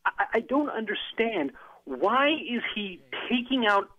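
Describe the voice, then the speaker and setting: A man calling a sports radio show, arguing his point in an animated way and often cutting in. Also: sits high, a little slow. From Los Angeles. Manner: animated, argumentative, interrupts. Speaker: caller. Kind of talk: excited sports radio call. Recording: phone line.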